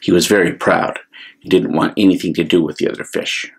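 A man telling a children's bedtime story in an expressive, deliberate way, with little asides to listeners. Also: low-pitched, creaky, slightly rough. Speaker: priest reading a storybook.

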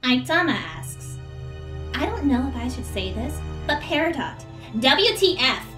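A small, brash female alien technician acted by a woman, high and animated. She is pedantic and fretful in cartoon style, recorded in a roomy space with music behind. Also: a little slow, heavily acted. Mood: baffled, pedantic. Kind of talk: cartoon character acting. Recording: roomy, distant mic with music.

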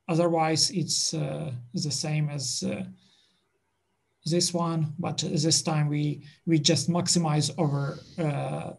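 A man presenting to a study group over a video call, pausing often with filler sounds as he works through the slides. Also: a little high, creaky, darkish tone, slightly rough. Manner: halting, many filler sounds, slow and deliberate.

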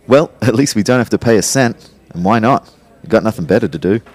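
Low-voiced wry young narrator played by a man. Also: animated, brisk, a little mellow. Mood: chatty. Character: wry young personal trainer narrating his life. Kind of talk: first-person audio drama narration.

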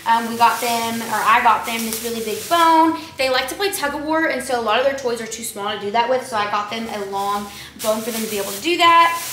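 Chatty high-voiced young woman in an echoey kitchen. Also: lively intonation, fairly quick, hardly any pauses, bright, forceful tone. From Texas. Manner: chatty, rambling, lots of likes and ums. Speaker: vlogger. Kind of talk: casual haul chat to camera. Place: home kitchen, indoors. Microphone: camera on the counter at a distance. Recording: echoey kitchen with clear background noise.

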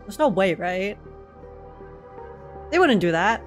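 A woman's voice: medium pitch, sing-song, slow pace, faint music behind. A woman streamer giving casual, joking live commentary as she reacts to a video game. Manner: wry, joking.

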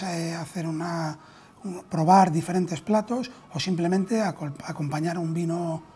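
A man's voice: fairly high pitch, mellow. A man in his thirties with a Castilian Spanish accent, speaking conversationally in an interview as a restaurant owner, on a lapel mic. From Spain. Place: indoors in an empty restaurant dining room.

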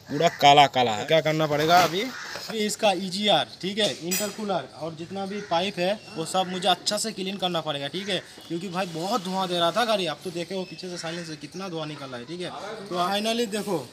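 Young male mechanic with a Kolkata accent, a slightly high voice, a phone recording in a noisy workshop. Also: a little fast. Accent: Kolkata Hindi. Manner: repeats "dekho" and "theek hai" while showing his work. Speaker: car mechanic. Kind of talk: hands-on repair walkthrough. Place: outdoor garage workshop. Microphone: phone camera held while working. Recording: phone audio with garage noise.

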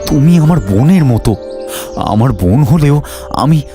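A man's voice: medium pitch, lively intonation, measured pace, music in the background, lightly acted. A man voicing a crafty, earnest married village grandfather in a cartoon, with theatrical delivery. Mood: earnest, pleading. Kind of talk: Bengali cartoon voice acting.

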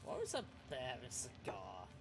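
A man's voice: medium pitch, huge pitch swings, moderate pace. Man, casual gameplay commentary, varied intonation, musing asides, music in background. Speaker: game streamer.

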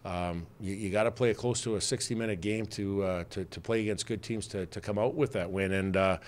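A middle-aged head coach with a low, deep voice talks to reporters into a desk mic in a press room. He speaks plainly, circling back with fillers and false starts as he sizes up his team. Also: steady tone, brisk. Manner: plainspoken, many fillers and restarts. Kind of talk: postgame press conference answers. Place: press room with sponsor backdrop. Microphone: desk mic on stand.

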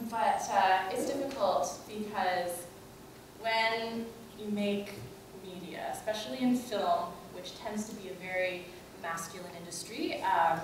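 A woman's voice: mid-range voice, very echoey, noisy background. A young woman giving a conference talk, conversational, with many fillers and rhetorical questions, recorded from a far camera in a large lecture room. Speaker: student organizer giving a talk. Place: large lecture room, in front of a projector screen. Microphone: far camera, no mic.

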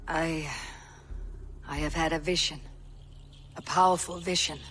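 A steady Viking warrior. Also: female voice, sits low, unhurried, faint music behind. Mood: hesitant. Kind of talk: video game cutscene.

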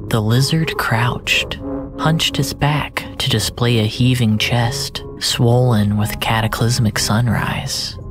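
A man tells a dramatic story at a slow, deliberate pace. His voice sits at a medium pitch and often turns breathy, close to a whisper. The delivery is solemn and literary, with prominent music underneath. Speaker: narrator. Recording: narration over a music bed.

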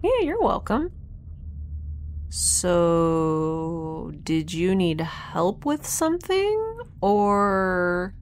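Sarcastic young space station girl, lowish voice that moves around a lot, breezy, sci-fi audio drama. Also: very animated, very slow, slight background noise, heavily acted. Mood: awkward. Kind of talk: science fiction audio drama acting.

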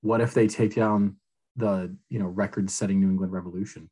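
Low, husky-voiced man on a podcast. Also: steady tone, a little fast, mellow. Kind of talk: casual fan podcast chat.

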